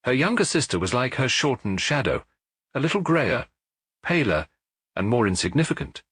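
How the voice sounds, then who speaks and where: A British man reading a detective story as an audiobook, in a measured, precise literary narration. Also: medium pitch, very animated, a bit bright, husky, dry recording, clean studio sound.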